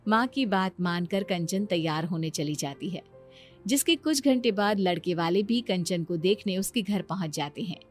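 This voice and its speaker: A woman's voice: mid-range voice, dry recording. A woman reading scripted narration for a family moral story.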